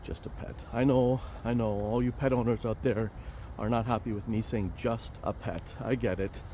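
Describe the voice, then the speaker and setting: A man chats his way through a casual outdoor vlog, wandering from point to point with plenty of fillers and restarts. His voice is mellow and warm, and a faint hum of outdoor noise and traffic sits under it. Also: mid-range voice, fairly even intonation, normal speed. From Romania. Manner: rambling, chatty, many fillers and restarts. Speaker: vlog host, financial planner. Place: outdoors in a park near a highway.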